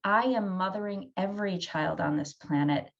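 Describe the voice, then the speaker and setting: A woman's voice: fairly low pitch, normal speed, vocal fry, dull audio. A woman talking in a casual conversation, telling stories emphatically with fillers.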